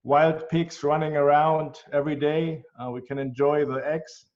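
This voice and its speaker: A man's voice: on the high side, flat delivery, darkish tone. German-accented man with muffled sound. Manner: earnest, measured, with frequent ums. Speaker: guest, healthcare tech executive. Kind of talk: interview answers. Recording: dull, lo-fi sound.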